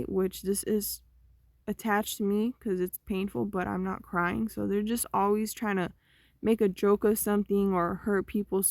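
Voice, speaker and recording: Gravelly-voiced woman rambling to camera. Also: medium pitch, a little mellow, no echo, studio quality. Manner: meandering, slow and conversational, trailing off. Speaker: vlogger. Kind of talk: rambling personal monologue.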